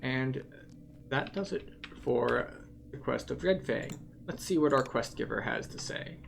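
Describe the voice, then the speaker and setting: A game tour guide giving casual walkthrough commentary, explaining things in a matter-of-fact, unhurried way. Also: medium pitch, lively intonation, creaky, music in the background.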